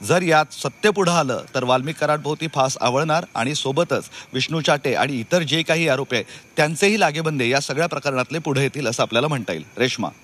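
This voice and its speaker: Man, field reporter, very quick pace, somewhat high voice, long explanatory sentences. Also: fairly bright tone. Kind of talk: live unscripted news report.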